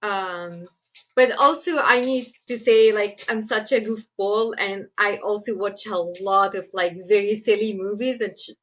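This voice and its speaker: A woman with an Egyptian accent talks freely about writing in a relaxed interview. She fills her speech with "like" and breaks into laughter often. The recording sounds a bit muffled. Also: medium pitch, unhurried. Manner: chatty, laughs often, lots of "like" fillers. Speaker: screenwriter guest. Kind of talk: casual interview answers.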